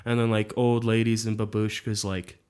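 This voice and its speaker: A man's voice: on the low side, level tone, normal speed, a little mellow, clear, resonant tone. A man whose first language is Polish, co-hosting a podcast in casual chat, telling loose, profane stories and laughing often. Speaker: podcast co-host.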